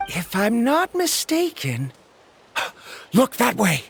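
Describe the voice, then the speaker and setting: A pedantic eager scholar. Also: male voice, very high voice, wildly swooping pitch, takes it slowly, gravelly, slight background noise, full character voice. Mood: lecturing. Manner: pedantic, lecturing. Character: eager young male scholar, fond of his theories. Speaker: researcher. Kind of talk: video game voice acting. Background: game music.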